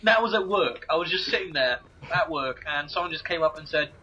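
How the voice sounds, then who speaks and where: A man's voice: on the high side, animated, brisk, pushed and bright, muffled recording, slight background noise. A man with an English accent, joking, ranting and telling anecdotes in casual gaming commentary chat. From England. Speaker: co-host.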